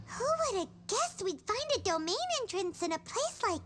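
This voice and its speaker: A woman's voice: extremely high pitch, big pitch swings, moderate pace. A woman voicing a small, childlike fairy companion in a video game, chirpy, cheeky and bossy. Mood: chatty. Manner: bossy, teasing, exclamatory.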